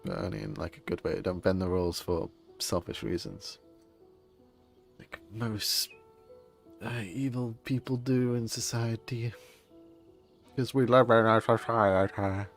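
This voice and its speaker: A man's voice: lowish voice, unhurried, long pauses. A man giving casual, rambling, laid-back live commentary as he streams a game. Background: faint game music.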